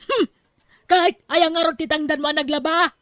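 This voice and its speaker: A woman's voice: sits high, fairly even intonation, normal speed, a bit bright, no echo, studio quality. A woman with an Ilocano accent playing a nagging, demanding wife and mother in an audio drama. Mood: scolding. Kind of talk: Ilocano radio-style drama acting.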